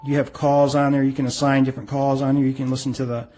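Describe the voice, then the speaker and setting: This is a middle-aged man with a mid-pitched voice. He talks through a product casually and without a script, explaining things in plain, informal terms and rambling a bit as he goes. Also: flat delivery, quick pace, warm tone, soft background music. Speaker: product reviewer. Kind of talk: casual unscripted product review.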